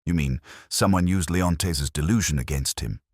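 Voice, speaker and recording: A man's voice: rumbling low, moderate pace, a little mellow, no echo, clean studio sound. A man voicing an earnest, questioning companion to a detective in an audiobook. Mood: doubtful. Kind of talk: audiobook detective story dialogue.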